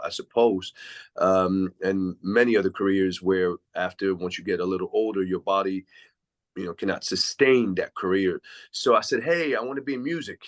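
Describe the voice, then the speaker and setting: Man from switzerland, deep low voice, reflective, rambling podcast guest. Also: fairly bright tone. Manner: reflective, long winding answers. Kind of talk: casual interview storytelling.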